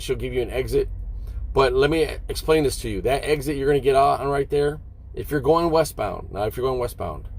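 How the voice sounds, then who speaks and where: A man's voice: medium pitch, a little mellow, low-quality recording. A middle-aged American man, a truck driver, talking casually to his vlog viewers in a folksy, rambling, conversational way.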